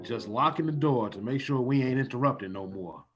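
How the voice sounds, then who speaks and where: A man's voice: medium pitch, animated, moderate pace, fairly bright tone, lightly acted. A man acts out a slangy, streetwise tough guy from an old radio drama. The recording is a bit dull, with music playing underneath. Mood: suspicious. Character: tough, suspicious streetwise man with a soft streak.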